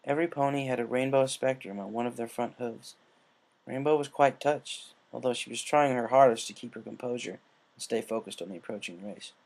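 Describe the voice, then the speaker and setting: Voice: medium pitch, a little fast, gravelly, a little mellow. A narrator reading fan fiction aloud as scripted storytelling. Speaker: story reader.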